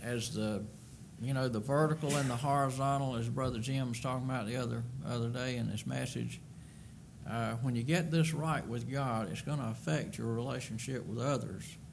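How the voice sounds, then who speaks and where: A man's voice: medium pitch, fairly even intonation, moderate pace, creaky, noisy background. An older man preaching a sermon from a church pulpit, earnest and emphatic, often repeating words as he builds a point. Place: church sanctuary at a wooden pulpit. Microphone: pulpit microphone.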